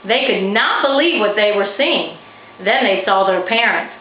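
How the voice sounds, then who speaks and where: A woman's voice: mid-range voice, animated, measured pace. A woman narrating a children's storybook expressively, as an author reading her own work. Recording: roomy, slightly dull, faintly noisy recording.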